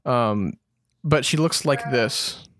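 A man's voice: medium pitch, takes it slowly, a bit bright, dry recording. A man co-hosting a podcast in casual chat, informal and thinking aloud as he talks. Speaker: podcast co-host.

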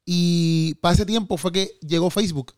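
A man hosting a casual interview, relaxed and joking, often chiming in with agreement. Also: high-pitched, normal speed, dry recording, clean studio sound. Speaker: host.

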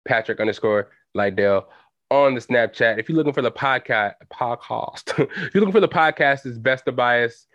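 A man's voice: mid-range voice, moderate pace, fairly bright tone, no echo. Slangy young man rambling on a podcast. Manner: loose, slangy, rambling with many fillers. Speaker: podcast host. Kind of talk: solo casual podcast chat. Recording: dull lo-fi home recording.

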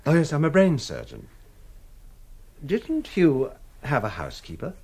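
An actor playing a reserved, courteous middle-aged surgeon in a radio drama, formal and understated in manner. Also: male voice, a little high, wildly swooping pitch, a little slow, a little mellow, slightly rough, exaggerated voice. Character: reserved, polite London brain surgeon, visiting stranger.

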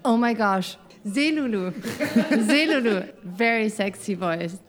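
A woman's voice: a little high, lively intonation, slow. A woman from the United States, chatting as a podcast guest, laughing often and wandering into asides. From United States (Southern Oregon). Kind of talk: casual chat.

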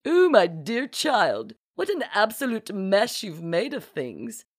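A woman voicing a grand, wistful older duchess in an audiobook, theatrical, drawn-out and indulgent. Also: mid-range voice, huge pitch swings, husky, dry recording, studio quality, voice pushed up, exaggerated voice. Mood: amused reproach. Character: grand, wistful older duchess reminiscing about court intrigue.

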